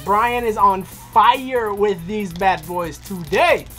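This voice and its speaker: A woman's voice: medium pitch, slow, a bit bright. A woman co-hosting a casual card-opening video, chatty and teasing, laughing often. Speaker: co-host. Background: music.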